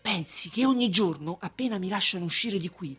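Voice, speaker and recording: A woman's voice: medium pitch, lively intonation, a little fast, fairly bright tone, slightly rough, soft background music. A woman playing an anxious, submissive merchant who confides fretfully and insistently in a radio drama. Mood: fretful, obsessive. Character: anxious, submissive merchant client obsessed with his trial. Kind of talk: stage acting in a radio drama.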